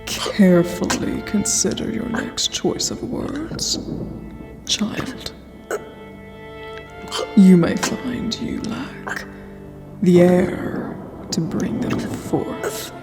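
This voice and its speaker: A woman's voice: lowish voice, expressive, drawn-out words, pauses often, heavily acted. A woman voicing an ancient vampire queen in a fantasy audio roleplay, regal and threatening, often dropping to a whisper. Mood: menacing, haughty. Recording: long hall reverb, music underneath. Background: clear background music.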